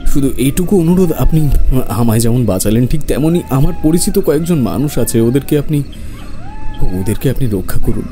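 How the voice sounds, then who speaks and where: A man's voice: mid-range voice, lively intonation, normal speed, mellow, faint music behind, close to an everyday voice. A man playing a humble spiritual seeker, hesitant and pleading, in a horror audio drama. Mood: shaken, pleading.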